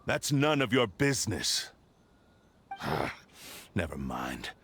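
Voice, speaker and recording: A brusque, husky-voiced swordsman. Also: sits low, very animated, takes it slowly, fairly bright tone, full character voice. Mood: cold, dismissive. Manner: curt, cold, dismissive. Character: brusque, suspicious wandering young swordsman, impatient and dismissive. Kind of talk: anime video game voice acting.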